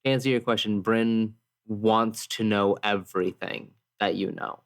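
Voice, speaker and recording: A man improvising story narration and casual table talk in a tabletop game, haltingly searching for phrases. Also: on the low side, steady tone, creaky.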